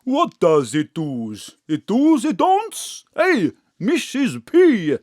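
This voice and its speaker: A flamboyant Greek chip-shop man in a comic audio drama. His voice is high and bouncy, delivered slowly in showy, wheedling broken English with a Greek accent. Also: wildly swooping pitch, a little mellow, no echo, clean studio sound, full character voice. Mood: flirty.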